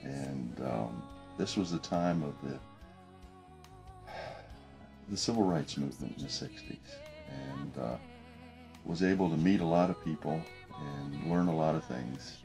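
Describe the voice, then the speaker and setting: Very deep-voiced man reflecting in his office. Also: creaky, mellow, faint music behind. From United States. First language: French. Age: late middle age. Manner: thoughtful, halting, many fillers and restarts. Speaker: business professor. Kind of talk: reflective documentary interview. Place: office with desk and window.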